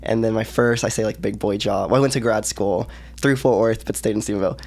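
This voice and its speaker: A man's voice: fairly low pitch, steady tone, fast, vocal fry, darkish tone. A man in his twenties from Texas, a podcast guest talking casually and dropping plenty of "like" fillers. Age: 20s. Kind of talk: casual interview chat.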